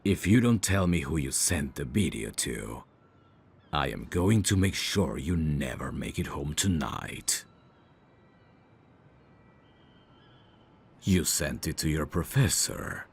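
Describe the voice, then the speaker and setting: A man playing a menacing, teasing mafia boss in an ASMR roleplay, speaking in a deliberate, taunting way. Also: extremely low pitch, vocal fry, breathy edge, full character voice. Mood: cold, threatening. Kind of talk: ASMR roleplay audio drama.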